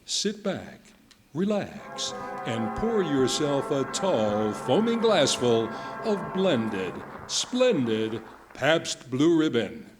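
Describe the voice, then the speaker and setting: A man announcing a sponsored show in a polished, salesman-like 1940s radio style. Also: on the high side. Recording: music behind the voice.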